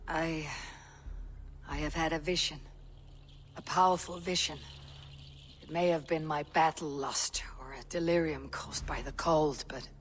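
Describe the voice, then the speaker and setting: An actress voicing a steady, stoic female Viking warrior in a video game, measured and dry in manner. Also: deep voice, slight background noise. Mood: troubled, hesitant. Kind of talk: video game character acting.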